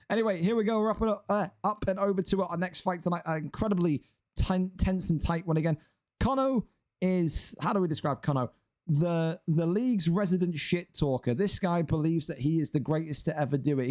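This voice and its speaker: A man's voice: a little high, dark tone. A man giving live, chatty commentary on an online boxing league, building up the fighters and bantering with viewers. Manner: chatty, hype-building, teasing asides to chat.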